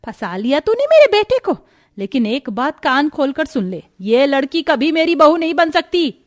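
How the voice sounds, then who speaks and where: An imperious older mother-in-law from a family moral story, acted by a woman. Her voice sits fairly high. She scolds, taunts and orders people about with open scorn. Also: very animated, moderate pace. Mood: angry, scornful. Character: domineering, scornful older mother-in-law, sweet only to favourite daughter-in-law. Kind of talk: dramatised moral story acting.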